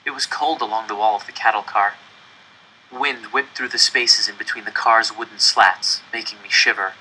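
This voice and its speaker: A man reading a first-person novel aloud as a dramatic, deliberate and expressive narrator. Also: on the low side, a little hiss or hum, poor audio. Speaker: audiobook-style reader.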